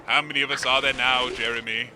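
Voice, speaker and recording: A man's voice: mid-range voice, level tone, fast talker, background noise, natural voice. A man voicing a huge, lonely, blobby mutant monster, meek, polite and pleading, in a comedy audio drama. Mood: sorry, dejected. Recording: music loud behind the voice.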